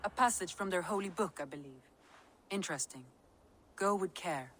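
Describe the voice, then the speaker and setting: An actress voicing a shrewd, composed Viking woman adviser in a video game, measured and knowing. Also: fairly low pitch, a little hiss or hum, close to an everyday voice. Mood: thoughtful.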